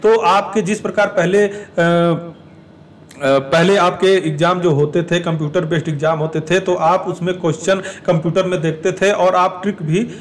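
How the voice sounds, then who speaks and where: Male educational presenter, high pitch, explanatory and repetitive delivery, slightly distant microphone. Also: fairly even intonation, normal speed. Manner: repeats and rephrases points to explain. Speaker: exam information presenter. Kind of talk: explanatory talk to exam aspirants.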